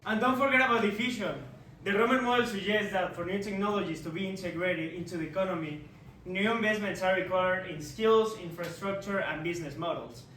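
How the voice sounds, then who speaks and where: Young man as a showy consultant boss, high voice, emphatic, roomy echo, distant mic. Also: brisk, pushed and bright, slight background noise. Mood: explaining. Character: boastful male consultant team boss. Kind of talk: amateur skit acting. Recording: echoey room, far mic.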